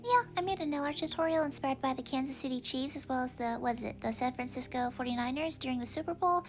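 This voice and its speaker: A woman's voice: high-pitched, quick pace, a little hiss or hum. A young woman from Texas, chatting casually through an unboxing with lots of fillers, sounding a little congested. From Texas, USA. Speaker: vlogger.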